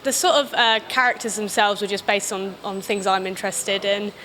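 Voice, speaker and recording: A woman's voice: medium pitch, moderate pace. A British woman giving casual interview answers as a film director, in a hesitant, rambling way. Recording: clear background noise.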